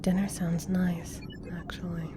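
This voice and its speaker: A woman playing an intelligent, composed scientist in an ASMR roleplay, speaking in a measured, formal, clinical way. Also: on the low side, little pitch movement, noisy background, close to an everyday voice. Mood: dry, tired. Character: intelligent, composed female government scientist doctor.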